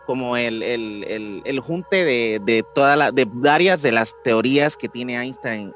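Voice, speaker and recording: A man's voice: mid-range voice, unhurried, fairly bright tone, soft background music. A young Mexican man co-hosting a podcast, talking casually and hesitantly with many restarts. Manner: hesitant, restarts often, slangy. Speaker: podcast co-host. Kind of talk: casual chat.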